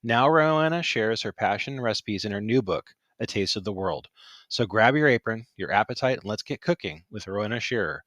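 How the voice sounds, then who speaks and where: An older male host opens his show with a scripted, punchy introduction. His voice sits on the low side, and the recording is close and completely dry, with no room sound at all. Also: expressive, fairly quick, a bit bright, dry recording. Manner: upbeat, punchy delivery. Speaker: podcast host.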